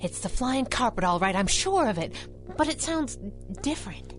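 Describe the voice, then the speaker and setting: An actress playing a spunky young crime-fighting sidekick with a snappy, slangy, teasing manner in a pulp radio drama. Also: female voice, a little high, very animated, normal speed, faint music behind. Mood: alarmed. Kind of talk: 1930s-set pulp radio drama acting.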